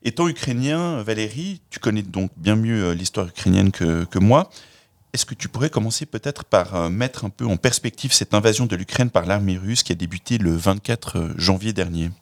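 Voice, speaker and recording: Podcast host guy, lowish voice, articulate, asks careful questions. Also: lively intonation, moderate pace. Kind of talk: interviewing, partly scripted intro.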